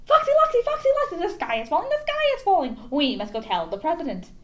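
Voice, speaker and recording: Woman playing a storybook hen, very high-pitched, panicked, dim-witted, repetitive, swooping wildly. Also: sing-song, fast talker, a bit bright, echoey room, exaggerated voice. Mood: panicked, urgent. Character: frantic, dim-witted female chicken clucking warnings of doom. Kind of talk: animated storybook read-aloud.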